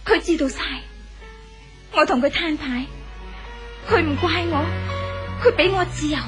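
A woman's voice: sits high, measured pace. A woman playing an earnest young woman devotedly in love in a 1970s radio drama, speaking emotively with a Hong Kong Cantonese accent. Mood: emotional, resolute. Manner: earnest, emotive, musing aloud. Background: music.

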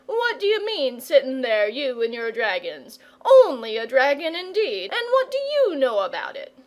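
A woman's voice: on the high side, animated, unhurried. A woman voicing a nervous, folksy older shepherd father with rustic dialect in a storybook reading. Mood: indignant. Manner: folksy dialect speech, plain-spoken.

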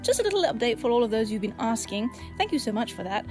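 A woman with a fairly high voice hosts an audio drama introduction. She is chatty and playfully self-deprecating. Music plays clearly behind her. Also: big pitch swings, fast talker.

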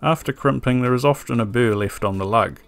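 A man giving a calm, methodical scripted voice-over for an electronics build tutorial, with a dry sense of humor. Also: mid-range voice, brisk, vocal fry. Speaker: electronics DIY video maker. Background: soft background music.

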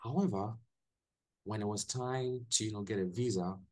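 A young man with a Ghanaian accent, a guest telling his story in a relaxed way on a video call from a small room, often restarting his sentences. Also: fairly low pitch, normal speed. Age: young adult. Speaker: guest, English teacher. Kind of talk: casual video-call interview.

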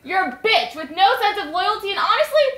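A rebellious teenage girl, played by a woman, snapping insults in a very high, sharp voice that swings widely. She sounds bratty and scornful, in a comedy sketch recorded in an echoey room. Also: sing-song, exaggerated voice. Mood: sneering. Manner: sneering, insulting, rapid outbursts.

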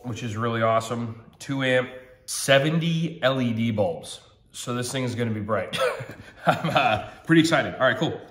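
A man's voice: mid-range voice, fairly bright tone, reverberant. A man in his thirties with a slightly nasal voice from a cold, reviewing a product in a chatty, upbeat way at a table at home. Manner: chatty, upbeat, thinks aloud with ums.

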